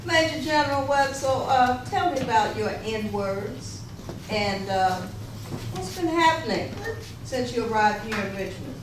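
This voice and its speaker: A woman playing a Civil War newspaper reporter in readers theater, with a halting, deliberate delivery. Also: a little high, expressive. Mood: inquisitive. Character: 1860s newspaper reporter interviewing a Union general. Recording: very echoey, noisy room, distant mic.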